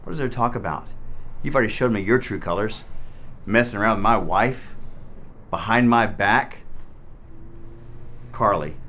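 A man's voice: fairly low pitch, moderate pace, long pauses, fairly bright tone. An American middle-aged man playing a betrayed, angry groom in a dramatic short film. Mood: hurt, bitter. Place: hotel room. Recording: music behind the voice.

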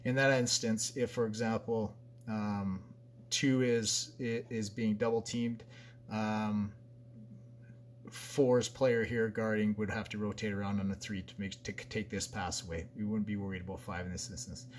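A man's voice: on the low side, flat delivery, moderate pace, slight background noise. A man, a basketball coach, talking through a practice drill at a whiteboard in a plain, conversational way with frequent fillers and restarts. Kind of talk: explaining a drill over a whiteboard diagram.

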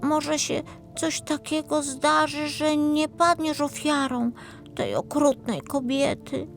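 A woman voicing a timid but clever little girl, speaking softly and carefully like a child in a fairy-tale audio drama. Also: takes it slowly, soft background music. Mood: hushed, anxious. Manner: high, soft, careful childlike delivery.

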